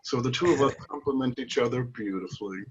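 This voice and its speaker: A man with a Brooklyn accent runs a meeting over a video call in a genial, formal way. The call audio is dull and lo-fi. Steady noise sits under his voice. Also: medium pitch, fast talker. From New York. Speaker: meeting chair. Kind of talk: chairing a virtual meeting.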